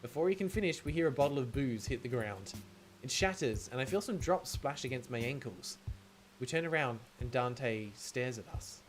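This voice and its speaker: A man's voice: mid-range voice, animated, moderate pace. A man streaming a game, reading visual novel narration aloud and adding casual commentary. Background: music.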